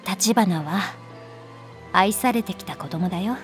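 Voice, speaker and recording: A composed, caring female schoolteacher played by a woman in an audio drama. She speaks in a mid-pitched voice, gentle and measured, with a grown-up restraint, over faint background music. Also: close to an everyday voice. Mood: resigned, sincere. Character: caring, composed young female homeroom teacher, gently teasing. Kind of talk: Japanese voice drama acting.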